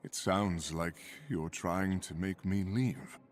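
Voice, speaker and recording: An actor playing a brooding, protective thunder god in a video game drama, grave and earnest, reluctant to fight. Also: male voice, fairly even intonation, slow, faint music behind. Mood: grave, gentle. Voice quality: deep, husky.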